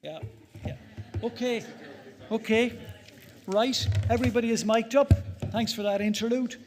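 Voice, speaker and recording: A man's voice: very high-pitched, steady tone, measured pace, noisy background. An Irish man moderating a conference panel on stage, jovial and teasing, often repeating words as he thinks.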